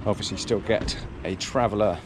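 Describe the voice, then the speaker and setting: A man with a fairly low voice gives a casual review tour in short, fragmentary phrases. The recording has clear noise of wind, water and boat behind him. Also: moderate pace. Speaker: presenter reviewing a sailing yacht. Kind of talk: casual walk-through review commentary.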